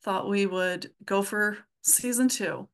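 A woman speaking as an interview guest in a casual, halting way, with thoughts that trail and pick up again. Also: medium pitch. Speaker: podcast guest, comedy audio drama writer.

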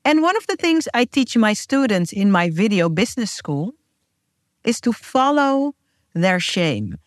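A woman's voice: mid-range voice, sing-song, a little slow, no room sound, clean studio sound. Earnest woman. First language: Dutch. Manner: earnest, heartfelt, emphatic. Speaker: podcast host and guest. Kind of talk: scripted podcast intro and casual chat.

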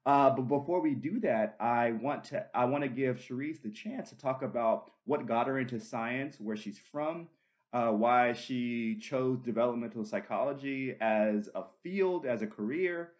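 A man's voice: mid-range voice, a little slow. A middle-aged man hosting a casual chat, earnest and full of fillers and restarts. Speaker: podcast host.

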